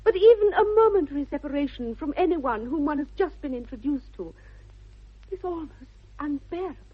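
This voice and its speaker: A woman's voice: high-pitched, animated, brisk. A woman playing an eager, sweetly earnest young English lady with precise, gushing diction in a radio comedy of manners. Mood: coy, romantic. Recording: dull 1960s radio recording.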